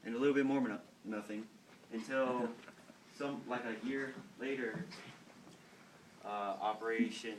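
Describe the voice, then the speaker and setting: A seventeen-year-old boy telling a rambling, halting history story to classmates in a casual way. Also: medium pitch, fairly even intonation. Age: teens. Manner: rambling, halting, with fillers and repeated words. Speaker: student storyteller. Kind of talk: casual informal talk to classmates. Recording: distant mic, faint background noise.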